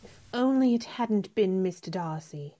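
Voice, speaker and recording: A woman's voice: medium pitch, lively intonation, a little slow, warm tone, lightly acted. A woman playing a spirited, self-possessed young lady in a radio play, speaking politely but firmly and with a stubborn streak. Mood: upset, insistent. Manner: polite but firm, pointed. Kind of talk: radio theater acting.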